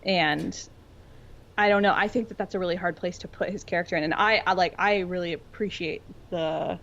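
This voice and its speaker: A woman's voice: fairly low pitch, fairly even intonation, a little fast, creaky, a bit bright. A woman co-hosting a podcast, casually discussing fiction in an earnest, thoughtful, informal way. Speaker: podcast co-host. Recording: dull lo-fi audio.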